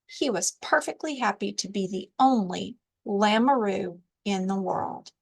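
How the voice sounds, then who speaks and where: A woman reading a children's storybook aloud as a librarian storyteller, with deliberate, expressive narration. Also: mid-range voice, creaky, breathy edge.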